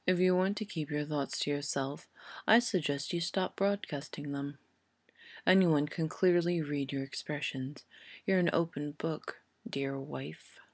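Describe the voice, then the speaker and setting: A woman reading an audiobook story and voicing a sardonic male wizard, deadpan and coldly unmoved, with a muttering, pointed delivery. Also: low-pitched, measured pace, dry recording. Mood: dry, pointed. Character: sardonic, bland, sneering adult male wizard.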